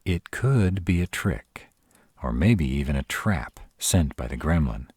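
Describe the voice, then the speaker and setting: Casting: male actor as gruff tsundere were-dragon boyfriend, animated swings in pitch, close dry sound, tender and guarded, romantic audio drama. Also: no room sound, heavily acted. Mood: uneasy, cautious. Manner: tsundere, teasing yet caring, hesitant pauses. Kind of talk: romantic fantasy audio roleplay, speaking to the listener.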